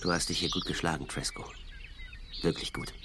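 An actor playing a rugged, loyal young frontier scout, plain-spoken, in a German western radio drama. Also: male voice, sits low, fairly even intonation, fairly quick, very noisy, natural voice. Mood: subdued, warm.

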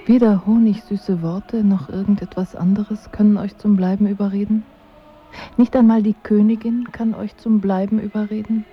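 An actress playing a languid, coolly ironic royal wife in a radio drama, with dry and formal diction. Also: female voice, medium pitch, fairly even intonation, moderate pace, slightly rough, muffled recording, close to an everyday voice. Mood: wounded, reproachful. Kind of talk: literary radio drama acting.

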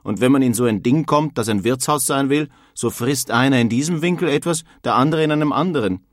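A man's voice: medium pitch, fairly quick, no echo, clean studio sound, close to an everyday voice. An actor playing a grumbling, homesick German emigrant craftsman in a dramatised reading of a period letter. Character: disgruntled German emigrant craftsman writing home, blustering and homesick.

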